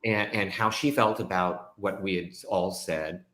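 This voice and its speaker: A man, a stage actor and guest, talking through his work in a casual, animated way. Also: deep voice, a little slow. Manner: animated storytelling, reenacts his own thoughts. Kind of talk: casual conversation. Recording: echoey room.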